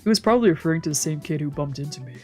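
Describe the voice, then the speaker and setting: A woman's voice: low-pitched, animated, rapid-fire, darkish tone, faint music behind. A woman streamer reading a visual novel aloud in a playful, theatrical way with casual asides. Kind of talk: let's play read-aloud with casual asides.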